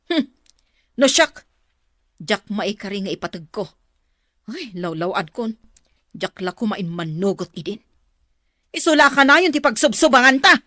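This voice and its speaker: A woman's voice: mid-range voice, big pitch swings, normal speed, pauses often, sharp tone, dry recording, clean studio sound. An actress playing a domineering, resentful mother who scolds and orders people around in an Ilocano accent in a radio drama. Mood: scolding, resentful. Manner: bossy, scolding. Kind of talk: Filipino radio drama acting.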